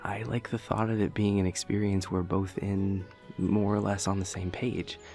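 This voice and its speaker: A man's voice: low voice, little pitch movement, normal speed, a little mellow, soft background music. A young man playing a dorky, earnest boyfriend in an intimate audio roleplay, rambling hesitantly and nerdily with playful self-deprecation. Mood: earnest, fond. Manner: hesitant, rambling, nerdy explaining.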